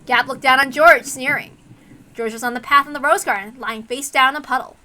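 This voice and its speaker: A woman's voice: sits high, steady tone, a little fast, sharp tone, a little hiss or hum. A woman reading a story aloud as its host, in a dramatic narrating style. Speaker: reader and host.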